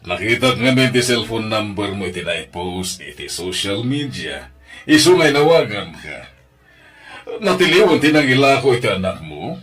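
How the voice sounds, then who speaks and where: A male actor playing an earnest, emotional man in an Ilocano radio drama. His voice is fairly low and moves widely in pitch, with an Ilocano Filipino accent. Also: sing-song, normal speed, fairly bright tone. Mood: earnest, informative.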